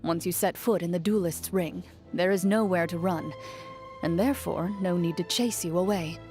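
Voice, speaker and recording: An actress as a poised young swordswoman from a fantasy game, her voice fairly low and very soft-edged. She speaks formally and with restraint, in measured, composed phrases, over background music. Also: lightly acted. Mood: calm, serious. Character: cool, composed young woman, champion duelist of a fantasy court. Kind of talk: scripted video game character voicelines.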